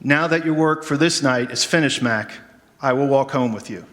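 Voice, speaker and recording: An actor playing a solemn, gentle, mysterious doctor in a radio drama, with measured, formal phrasing. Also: male voice, mid-range voice, lightly acted. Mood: gentle, probing. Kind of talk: stage radio drama acting. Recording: noisy live hall, long reverb.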